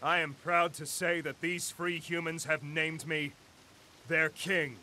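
A man's voice: a little high, flat delivery, slow pace, sharp tone, a little hiss or hum, full character voice. An actor playing a proud, noble young rebel king in a video game, speaking in earnest, heroic fashion. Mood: proud defiance. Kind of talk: video game drama acting.

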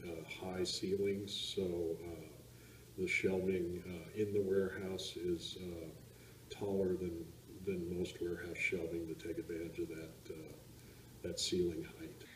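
A middle-aged man, a project supervisor, speaking in an interview with many fillers and pauses, recorded in a large warehouse. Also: low-pitched, slight background noise. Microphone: camera mic at a distance. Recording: echoey warehouse, mic far off.

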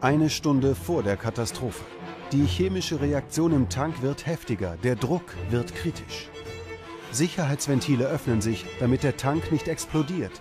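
A man reads a scripted documentary narration at a mid pitch. His delivery is measured and dramatic, and music plays clearly behind him. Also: animated.

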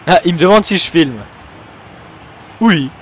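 A young man from Switzerland, commentating his own gameplay excitedly and laughing often. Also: fairly high pitch, unhurried, a little hiss or hum. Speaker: gaming YouTuber.

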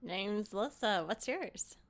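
A woman's voice: medium pitch, expressive, takes it slowly. A woman playing a tabletop game, speaking casually in character.

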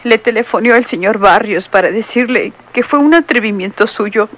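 A chatty young nurse. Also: female voice, on the high side, normal speed, fairly bright tone, rough recording. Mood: gossipy. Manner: pleading, then gossipy and playful. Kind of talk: vintage radio drama acting. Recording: old radio recording.

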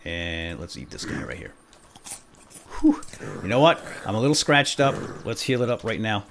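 A man's voice: lowish voice, very animated, moderate pace, a little hiss or hum. A man hosting a let's play, commentating playfully and exclaiming often as he talks to himself and to viewers. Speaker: gaming video host.

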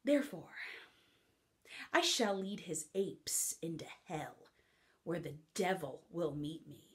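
A woman's voice: lowish voice, expressive, slow, fairly bright tone, pitched below the performer's own voice. A woman playing a witty young woman in a classical comic monologue, who mocks the idea of marriage with teasing, playful wit. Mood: mocking.

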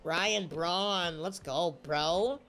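A young man streaming a baseball game, talking casually and yelling at the plays, a bit congested with a slight cough, on a headset mic at home. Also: sits high, very slow, faint music behind. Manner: excitable, yelling at the game, slangy "bro". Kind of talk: casual gameplay commentary.

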